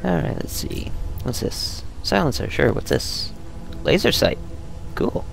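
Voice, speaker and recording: A man hosting a gaming video, reading scripted narration or casually commenting, with a creaky, smooth voice. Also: mid-range voice, very animated, measured pace, faint music behind.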